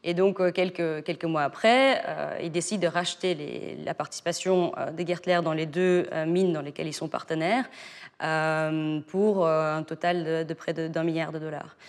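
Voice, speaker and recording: A woman's voice: low-pitched, steady tone, normal speed, big-room sound. A woman expert answering interview questions, explaining at length with frequent hesitations and restarts. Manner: hesitant, many fillers and restarts.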